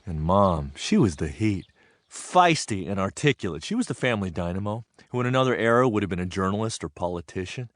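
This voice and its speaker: Expressive American man reminiscing. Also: low voice, no room sound, studio quality. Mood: fond, nostalgic. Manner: expressive, deliberate storytelling with weighted pauses. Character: Japanese American man recalling his farm childhood, earnest, reflective. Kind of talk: audio theatre monologue, dramatic storytelling.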